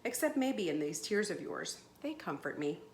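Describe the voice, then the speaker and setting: A woman voicing a kindly, mournful old woman from a storybook, soft-spoken and fussing over a child. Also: lowish voice, very animated, normal speed, roomy sound, a little hiss or hum. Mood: wistful, consoling.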